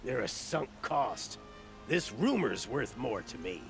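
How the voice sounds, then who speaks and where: An actor voicing a shifty, blustering opportunist in a video game, with a sly, stammering salesman's patter and a gravelly edge. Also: mid-range voice, big pitch swings, takes it slowly, music in the background, full character voice. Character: shifty, blustering male opportunist merchant-gambler, bargaining and flattering. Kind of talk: video game dialogue acting.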